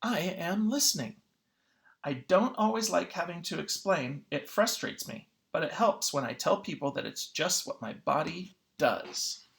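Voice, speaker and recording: Children's read-aloud, teacher, expressive delivery, very lively intonation, medium pitch. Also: measured pace, vocal fry. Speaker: teacher reading a picture book aloud.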